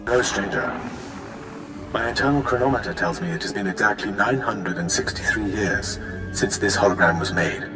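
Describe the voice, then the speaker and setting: An actor playing a serene ancient Sith sage speaking as a hologram in a video game, measured and solemn, with an echoing effect. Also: male voice, lowish voice. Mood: calm, formal. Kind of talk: video game cutscene acting. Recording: echoing voice over game music.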